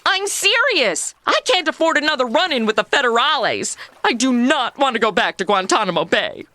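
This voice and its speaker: Bright, high-voiced sassy modern gal. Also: female voice, very animated, moderate pace, a little hiss or hum, full character voice. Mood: alarmed. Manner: sarcastic, indignant. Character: sassy, outspoken modern woman stuck in the 1950s. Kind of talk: comedy audio drama acting.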